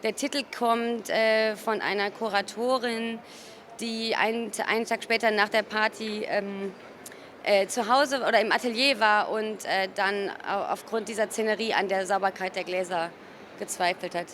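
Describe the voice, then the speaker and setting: A woman from Cologne speaking informally as a gallerist in an interview, often pausing mid-sentence to search for her words. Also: mid-range voice, steady tone, fairly bright tone. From Cologne, Germany. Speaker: gallerist presenting artworks. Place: busy exhibition hall at an art fair. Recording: noisy art fair ambience. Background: ambient fair noise.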